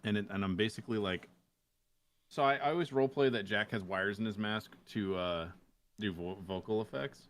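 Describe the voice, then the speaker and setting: Man, podcast guest, lowish pitch, casual gamer talk, hesitant and halting. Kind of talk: casual chat.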